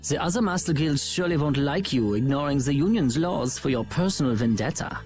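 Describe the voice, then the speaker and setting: A man's voice: fairly high pitch, lively intonation, a little fast, a little mellow, soft background music, close to an everyday voice. A man playing a suave, mocking villain in a video game, smug and theatrical with false politeness. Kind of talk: video game dub acting.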